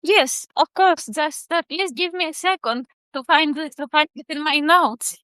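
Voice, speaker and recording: Greek-native woman poet, high bright voice, lots of uhs, dry close mic. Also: unhurried, no echo. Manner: thoughtful, hesitant with many fillers. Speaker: poet guest. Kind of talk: casual interview answers.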